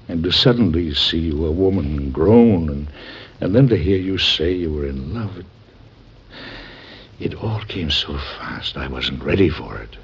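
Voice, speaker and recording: A man's voice: deep voice, lively intonation, unhurried, gravelly, breathy edge, heavily acted. An actor with an American accent playing a stern, protective, middle-aged President and father in old-time radio drama, formal and emphatic. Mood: tender, regretful. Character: stern, protective President and father, hot-tempered but loving. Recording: muffled old radio recording.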